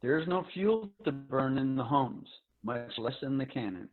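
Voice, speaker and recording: A man's voice: medium pitch, animated, normal speed, lightly acted. A man playing an old, weary space ranger general, speaking solemnly in a dramatic reading over a video call. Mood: solemn, resigned. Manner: solemn, declamatory. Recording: tinny, narrow-band video call.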